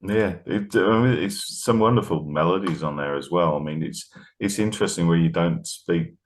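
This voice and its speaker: A man with a low voice conducts a casual interview. He speaks conversationally, in the relaxed wording of an informal chat between interviewer and guest. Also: normal speed.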